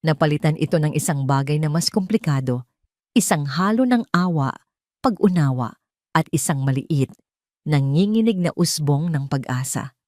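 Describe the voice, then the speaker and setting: A woman's voice: deep voice, animated, normal speed, dark tone, no room sound, studio quality. A woman with a Filipino accent reading a scripted dramatic story as a storyteller.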